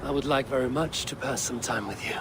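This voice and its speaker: Actor as a terse, serious Viking warrior. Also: male voice, medium pitch, a little fast, close to an everyday voice. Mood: grave, attentive. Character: steady Viking warrior, plain-spoken and questioning. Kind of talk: dramatic video game acting. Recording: clear ambient noise behind voice.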